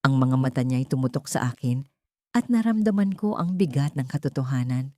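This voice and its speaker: A woman's voice: a bass voice, animated, normal speed, warm tone, no echo, studio quality. A woman reading a scripted first-person suspense story as its narrator.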